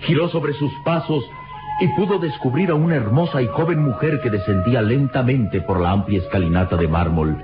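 A man narrating an old radio serial in a dramatic, scripted style. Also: on the low side. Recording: dull old radio recording, music behind.

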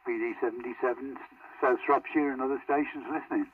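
A man's voice: mid-range voice, fairly even intonation, brisk, phone-quality sound. A man chatting casually as a CB operator, heard over a radio. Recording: distant, noisy CB radio speaker in car.

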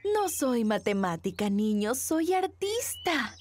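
A woman voicing a gentle mother rabbit in a children's cartoon dub, with a sing-song manner. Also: a little high, very animated, slow pace. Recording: loud music behind the voice.

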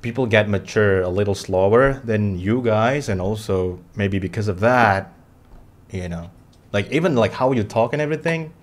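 A man in his twenties from Indonesia, hosting a casual live video chat with strangers in a small room, relaxed and joking. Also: normal speed. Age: 20s. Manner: relaxed, joking, low-key, trails off quietly. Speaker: livestream host. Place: small room with shelves and gaming chair.

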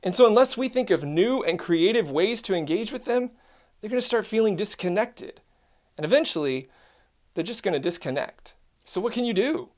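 A man in his thirties, earnest and animated, giving a scripted talk to camera about church ministry, recorded in a studio. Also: high voice.

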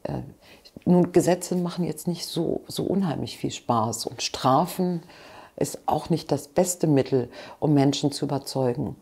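A woman's voice: low-pitched, unhurried, gravelly, warm tone, slightly rough. A woman, answering interview questions frankly and with passion, seated in a living room. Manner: passionate, frank, with restarts and asides. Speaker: interview guest.

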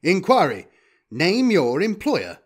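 A man's voice: sits high, wildly swooping pitch, slow pace, breathy edge, voice pushed up, exaggerated voice. A man voicing an armed war robot, cold and emotionless, speaking in clipped, formal machine-like statements. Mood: cold, commanding.

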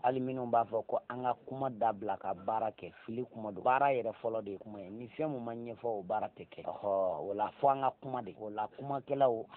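A man giving an earnest, exhorting talk. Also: lowish voice. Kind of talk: spoken address. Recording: phone-like lo-fi radio sound, faint noise.

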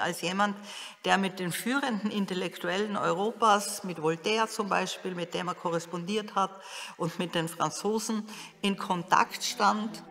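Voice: medium pitch, fairly bright tone. An expert explaining history in spontaneous interview commentary. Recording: reverberant room with music.

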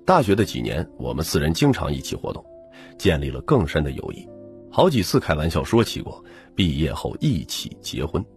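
A man with a husky voice narrating a novel in dramatic first-person storytelling as an audiobook reader. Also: rumbling low, huge pitch swings, moderate pace, a little mellow. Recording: music bed under the narration. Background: background music.

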